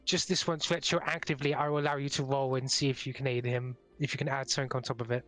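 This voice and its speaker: A man's voice: medium pitch, little pitch movement, quick pace, fairly bright tone, faint music behind. A man running a tabletop roleplaying game as game master, talking casually through rules and scenes.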